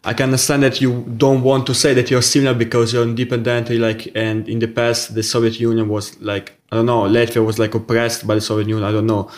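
A young man, raised in Italy and born in Russia, speaking as a guest in an interview, halting and searching for words. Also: mid-range voice. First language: Italian. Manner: halting, searching for words, many repeated yeses. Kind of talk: casual interview on a livestream.

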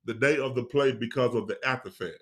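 A man's voice: medium pitch, fast, over a noisy crowd. An American man talking casually in a group conversation as a cast member of a stage play.

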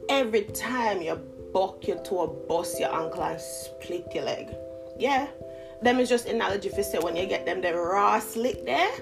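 A woman's voice: mid-range voice, gravelly. A woman in her thirties with a Jamaican Patois-inflected Toronto accent, talking straight to camera in a casual motivational monologue, laughing often and switching between slang and standard English. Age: 30s. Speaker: vlogger.

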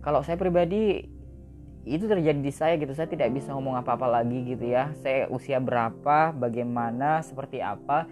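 A man's voice: medium pitch, brisk, a little mellow. Young man, podcast host, casual motivational storytelling, chatty with lots of fillers, mixes in English words, faint guitar music behind. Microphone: audio podcast recording.

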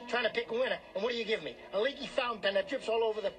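An actor in a radio drama playing a pushy, greedy small-time hustler who talks in cocky, needling slang. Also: male voice, high voice, very fast, fairly bright tone. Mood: irritated. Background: music.

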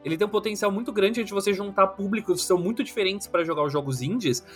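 A man, chatting as a podcast guest and telling stories in an animated, slangy way, full of fillers. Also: high voice, fast, soft background music. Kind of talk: casual chat.

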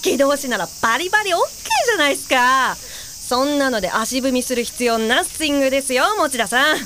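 A woman's voice: high voice, expressive, fairly quick, background noise. A woman playing a perky, nosy guide helper with a teasing, exclamatory, slangy way of talking in an audio drama. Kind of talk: audio drama acting with narration.

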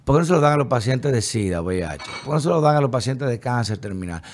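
A man, co-hosting a podcast in heated casual political chat, animated and mocking as he acts out hypothetical people. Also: medium pitch, quick pace, a little hiss or hum. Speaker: podcast co-host.

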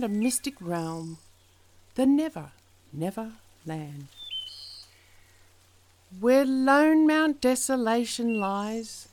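A woman reciting poetry in a measured, dramatic style, with deliberate phrasing that falls away at the ends of lines. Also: a little high. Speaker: poetry reader.

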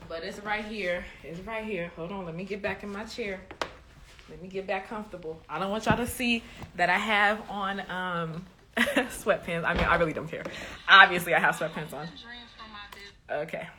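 A woman's voice: medium pitch, steady tone, moderate pace, sharp tone, slight background noise. A woman from Liberia hosting a live podcast stream, chatting casually and upbeat with her viewers, with lots of ums. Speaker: podcast host and coach.

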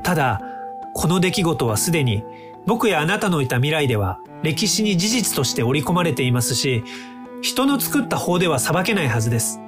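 An actor in an audio drama playing an earnest, polite young time-traveling inventor who explains things courteously. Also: male voice, a little high, sing-song, a little fast, music in the background. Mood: sincere, composed.

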